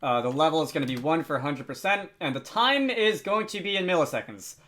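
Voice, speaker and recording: A man narrating an unscripted software tutorial, thinking aloud with frequent hesitant pauses. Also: fairly high pitch, very animated. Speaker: tutorial host.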